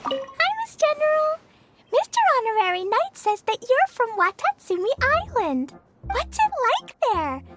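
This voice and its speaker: A woman's voice: very high voice, very animated, unhurried, heavy background noise, full character voice. A woman voicing a cheerful, innocent little mage girl in a video game, childlike, earnest and bubbly. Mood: curious. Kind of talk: video game character acting. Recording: music behind the voice.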